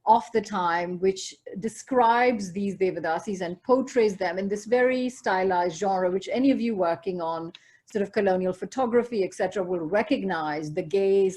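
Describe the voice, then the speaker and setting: A woman with a Goan accent giving an academic keynote lecture over a video call, measured and scholarly, with asides to the audience. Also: mid-range voice, mellow. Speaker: keynote speaker. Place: video call from home or office. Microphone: computer or headset mic on a video call.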